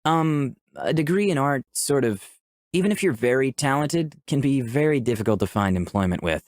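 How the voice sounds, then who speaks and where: Man as gentle young artist, animated pitch. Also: moderate pace, no echo, studio quality, natural voice. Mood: earnest. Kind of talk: visual novel dialogue and first-person narration.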